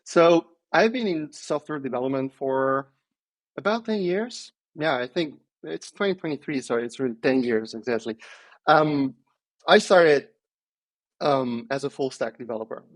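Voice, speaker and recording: A man, answering interview questions in a thoughtful, hesitant way with many pauses and restarts. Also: mid-range voice, lively intonation. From Italy. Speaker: podcast guest, software engineer.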